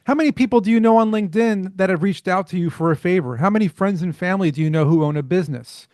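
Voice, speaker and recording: A man, a startup founder, talking as a podcast guest in an energetic, fluent way with rhetorical questions. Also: sits high, fast, no room sound, studio quality. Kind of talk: casual interview chat.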